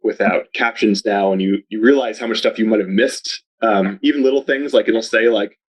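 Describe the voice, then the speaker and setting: Brief: male podcast guest, lowish voice, loose and rambling, upbeat, says "like" a lot. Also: moderate pace, husky. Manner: rambling, heavy use of "like", upbeat. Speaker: podcast guest, video advertising researcher. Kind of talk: casual interview chat.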